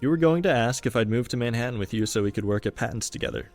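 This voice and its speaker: A man's voice: mid-range voice, expressive, quick pace, soft background music, natural voice. A young man playing an aloof, romantic student who dreams of jazz radio, understated and wry, in an amateur radio drama. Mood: resolute, wistful.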